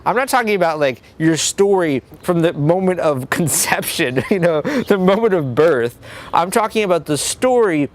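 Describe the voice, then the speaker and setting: A young man hosts his own channel, explaining ideas in a loose, conversational flow. His voice sits a little high for a man, and he keeps an upbeat, chatty tone throughout. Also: animated, normal speed. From El Salvador, grew up in the US. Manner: upbeat, conversational, lots of "like" and "you know". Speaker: crowdfunding coach and channel host. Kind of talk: casual talking-to-camera advice video.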